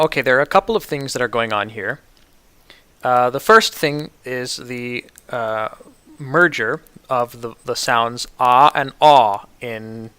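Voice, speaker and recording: A man with a New York City American accent gives an instructional pronunciation demonstration, enunciating words precisely and deliberately. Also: medium pitch, sharp tone, smooth, dry recording. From New York City, United States. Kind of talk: instructional demonstration with word lists.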